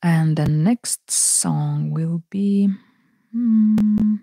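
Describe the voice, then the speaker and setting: A young woman with a naturally low voice, chatting casually with viewers between songs in a small home studio, speaking softly and haltingly into a close boom mic. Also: creaky, no room sound. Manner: soft, halting, many fillers. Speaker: singer hosting a live music stream. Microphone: large broadcast mic on a boom arm, close.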